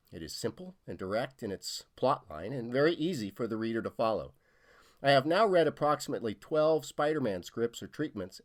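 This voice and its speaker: A blunt, formal male studio president dictates his letter in a measured, even voice, as a man reads the part. The tone is businesslike, with sharp emphasis on his judgements. It is a radio-play style script reading. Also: medium pitch, lightly acted.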